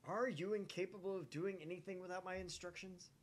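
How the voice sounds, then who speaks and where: A man's voice: high-pitched, steady tone, a little fast, a little hiss or hum, voice pushed up, natural voice. A man voicing a bombastic, impatient young self-styled mad scientist in a theatrical, commanding way for a visual novel read-aloud.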